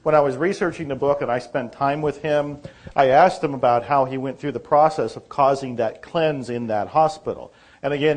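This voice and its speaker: A man co-presenting a seminar, taking questions informally in a courteous, anecdotal manner. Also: medium pitch. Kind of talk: informal question-and-answer talk. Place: seminar room.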